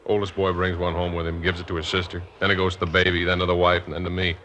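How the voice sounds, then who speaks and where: A man's voice: deep voice, completely flat delivery, fast, a bit bright. A man with an American accent playing a droll, grumbling family-man police officer in a radio drama, with a dry, rambling way of talking. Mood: wry complaining. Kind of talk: 1950s radio drama acting, conversational. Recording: dull, hissy old radio recording.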